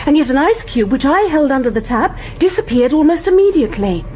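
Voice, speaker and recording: A woman's voice: high-pitched, very animated, fairly quick, a little mellow, a little hiss or hum. An actress playing a clever, insistent woman in a radio drama, articulately explaining her ideas. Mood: earnest.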